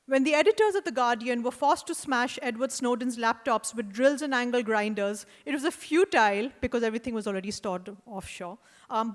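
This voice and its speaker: A woman with an Indian accent delivers a prepared lecture at a fairly high pitch. She reads in a measured, deliberate way and breaks off for wry asides and hesitant fillers. Her voice rings through a hall with a long reverb tail. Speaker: artist giving a lecture. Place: lecture hall at an art event.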